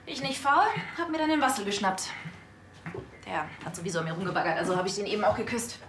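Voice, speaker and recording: A woman's voice: medium pitch, quick pace, background noise, lightly acted. An actress playing a lovelorn, indignant woman in a naturalistic TV crime drama. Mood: defensive. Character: indignant, lovelorn woman recalling an old flame.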